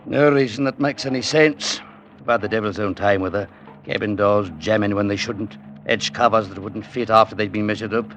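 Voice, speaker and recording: An actor playing a weary, troubled shipbuilder, plainspoken and exacting, in a classic radio drama. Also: male voice, on the low side, fairly even intonation, normal speed, a bit bright, natural voice. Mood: uneasy, weary. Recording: old radio recording with faint noise.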